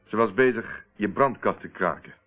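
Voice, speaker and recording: An actor playing a steady, probing man who confronts a murderer in a radio play, speaking in a deliberate, controlled, accusing way. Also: lowish voice, close to an everyday voice. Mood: matter-of-fact. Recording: tinny, narrow-band old radio recording.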